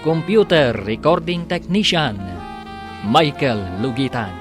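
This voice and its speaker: A man's voice: on the high side, animated, takes it slowly. A man narrating a radio drama as its announcer in a scripted, declamatory, emphatic style. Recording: prominent musical bed. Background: music.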